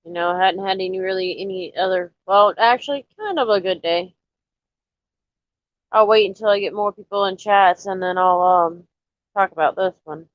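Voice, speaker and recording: A woman chatting casually to viewers on a live stream, a little nasal and stuffy from allergies, rambling in a relaxed way. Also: medium pitch, normal speed, no echo, lo-fi sound. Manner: rambling, relaxed, talks straight to chat.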